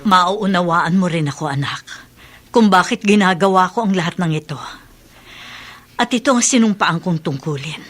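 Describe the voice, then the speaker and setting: A woman's voice: on the low side, animated, normal speed, a bit bright. An actress with a Filipino accent playing a dedicated, compassionate, middle-aged woman doctor in a radio drama. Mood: earnest, patient. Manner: earnest, reassuring.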